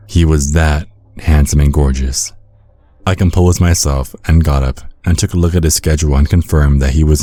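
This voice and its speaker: Man playing a very deep-voiced vampire storyteller. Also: mellow, no echo. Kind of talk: first-person horror storytelling, ASMR.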